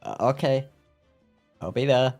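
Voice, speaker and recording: A man's voice: medium pitch, unhurried, a little mellow, faint music behind. A man livestreaming a game, giving casual, rambling, somewhat hesitant commentary to his viewers. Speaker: game streamer.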